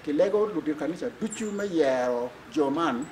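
A man's voice: fairly high pitch, expressive, brisk, darkish tone, poor audio. An older man with a Ugandan accent, a church official explaining things in an interview outdoors. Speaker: church official, diocesan secretary. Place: outdoors in front of a building. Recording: clear background noise, outdoor ambience.

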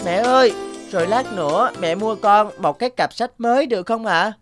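A woman voicing an eager, polite young schoolboy in an animated cartoon. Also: medium pitch, normal speed, music in the background, lightly acted.

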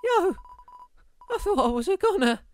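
A woman voicing an excitable, anxious young monster kid for a game dub, halting and slangy. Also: extremely high pitch. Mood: shaken, relieved. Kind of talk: voiced dub of a video game.

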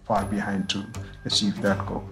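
A man from Nepal speaking gravely and deliberately in a documentary interview. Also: fairly low pitch, monotone. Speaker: climate expert interviewee. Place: dark studio. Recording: music bed under voice.

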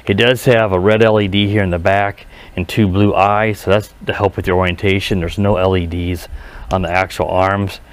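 A man giving a casual, unscripted hands-on review outdoors, speaking haltingly and often correcting himself. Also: low-pitched, little pitch movement. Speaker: product reviewer. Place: outdoors in a residential driveway. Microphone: head-mounted action camera.

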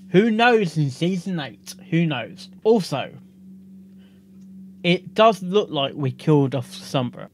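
A woman hosting a casual reaction video, halting as she comments and echoes what she hears. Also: sits low, slight background noise.

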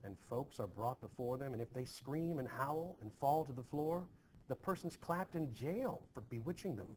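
A man voicing an anxious, dutiful Puritan farm wife in a play reading, measured and quietly reproachful. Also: medium pitch, lively intonation, natural voice, low-quality recording. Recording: noticeably hissy home screen recording.

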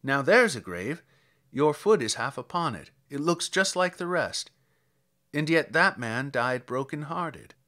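Man reading as an expressive old country priest. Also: on the high side, a little slow, exaggerated voice. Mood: reflective. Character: old country parish priest, plainspoken, reflective, fond storyteller. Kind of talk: dramatic verse reading.

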